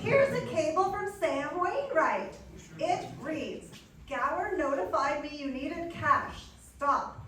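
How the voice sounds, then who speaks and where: A devoted wife and mother in a radio play, acted by a woman, earnest and tender, with lively intonation. She sounds far from the microphone in a noisy, echoing hall. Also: high voice, takes it slowly, full character voice. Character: Mary, devoted, warm-hearted wife and mother. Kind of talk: live stage radio play acting. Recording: noisy, distant, echoey dance hall.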